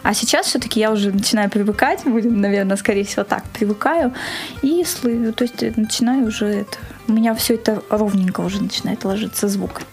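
A woman's voice: medium pitch, brisk, darkish tone. Earnest woman talking over faint music. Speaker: guest, organizer of a screening. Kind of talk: conversational radio interview.

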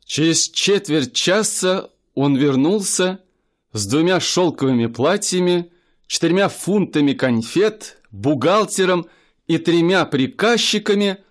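A man narrating a humorous literary radio play in a measured storytelling manner. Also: a little high, expressive.

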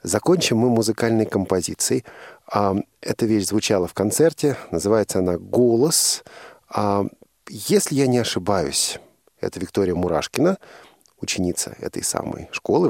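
Low, gravelly male radio host. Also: darkish tone, no echo, studio quality. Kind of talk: conversational radio hosting.

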